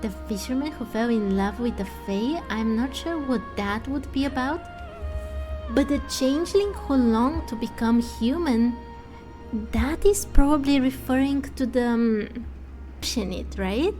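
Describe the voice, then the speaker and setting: A woman's voice: high voice, unhurried, music in the background. A woman giving casual let's play commentary, thinking aloud and speculating as she goes.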